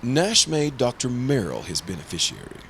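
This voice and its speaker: A man's voice: mid-range voice, wildly swooping pitch, moderate pace, a bit bright, slight background noise. A man playing a prim, earnest, easily flustered insurance broker in old-time radio drama style. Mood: worried, explanatory. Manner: prim, formal, exasperated. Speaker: supporting actor in a radio play.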